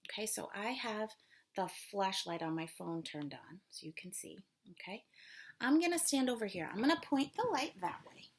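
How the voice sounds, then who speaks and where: A woman's voice: medium pitch, lively intonation, moderate pace, a little hiss or hum. A woman elementary school teacher reading aloud and then patiently explaining a lesson to children step by step. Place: small room at home, darkened.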